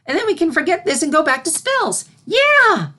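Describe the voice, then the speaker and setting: Excitable little unicorn girl, very high voice, eager storybook pupil. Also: normal speed, exaggerated voice. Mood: excited. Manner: eager, childlike, exclamatory.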